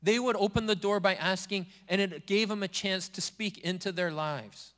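Guy pastor preaching, kinda high voice, earnest and repetitive, big echoey church. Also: normal speed, a bit bright. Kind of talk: sermon. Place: church sanctuary.

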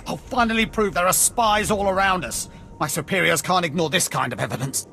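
A paranoid military officer in a game cutscene. His fairly high voice swings widely as he insists with conspiratorial urgency, over faint background noise. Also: bright, forceful tone, full character voice. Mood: triumphant, then vindicated, grateful. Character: paranoid disgraced male Imperial officer, insistent and agitated. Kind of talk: video game cutscene acting.

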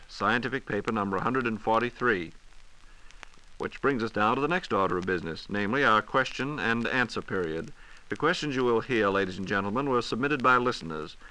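A man's voice: mid-range voice, sharp tone, low-quality recording. An American man hosting a scripted 1940s radio program in a polite, orderly announcer's manner. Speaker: radio host. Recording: 1940s radio transcription disc.

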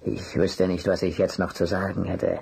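Haughty butler for radio play: creaky, very raspy, curt, formal and easily affronted. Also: male voice, low voice, level tone, normal speed, a little mellow, full character voice. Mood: curt, unwilling. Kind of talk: German audio drama acting.

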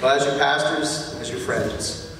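A man's voice: medium pitch, a little slow, crowd noise. A male pastor in his forties, talking with a joking, encouraging manner while speaking from a church baptism tank. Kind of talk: baptism address to congregation. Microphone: handheld mic, distant room pickup. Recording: echoey hall from far off.

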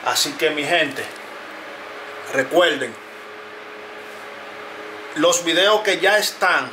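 A man, a barber, talking to his viewers in a casual, earnest way, often repeating himself and pausing with fillers. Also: on the high side, expressive, noisy background. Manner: earnest, repetitive, pauses with fillers. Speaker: barber and video creator. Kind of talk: casual talk to camera. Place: closed barbershop, indoors.

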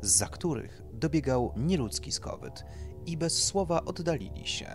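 A man's voice: on the high side, sing-song, measured pace, faint music behind. A man reading a satirical horror story as scripted narration. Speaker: narrator, audiobook reader.

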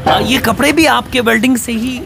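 A man's voice: very high voice, brisk, vocal fry, over loud music. A young man interviewing an artist in a workshop, asking short probing questions and reporting briefly. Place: workshop, indoors. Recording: noisy background.

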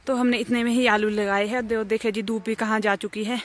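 A woman's voice: on the high side, fairly even intonation, brisk. A young woman from Himachal Pradesh chatting to her viewers as she plants potatoes outdoors, filmed on a phone. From Himachal Pradesh, India. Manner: chatty, explains farm work to viewers. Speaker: vlogger. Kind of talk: casual narration to camera. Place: hillside farm field outdoors. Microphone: phone camera, arm's length and set on ground.

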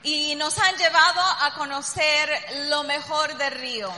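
A woman greeting a convention audience from the stage, speaking slowly in short phrases that pause for an interpreter. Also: high-pitched. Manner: slow, warm greetings to the crowd. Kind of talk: speech paused for live interpreting. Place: large convention hall stage. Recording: hall reverb with faint crowd.

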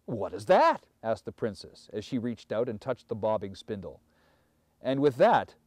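Mellow, expressive middle-aged male storyteller. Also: mid-range voice, no room sound. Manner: measured, theatrical, deliberate phrasing. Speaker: storyteller reading aloud. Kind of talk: dramatic fairy tale narration.

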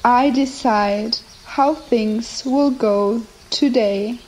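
Very slow-paced woman guiding a meditation. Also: on the high side, darkish tone, a little hiss or hum, poor audio. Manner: measured, short phrases with long pauses. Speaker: guided meditation narrator. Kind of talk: slow scripted guided meditation with affirmations. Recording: nature ambience behind the voice. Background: nature and ambient sounds.

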